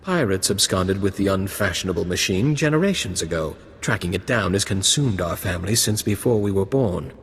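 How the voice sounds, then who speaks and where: Droll, lively young fop of a lord. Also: male voice, low voice, a little fast, hardly any pauses, husky, exaggerated voice. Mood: aristocratic disdain. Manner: arch, drawling, wry. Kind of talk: video game voice acting.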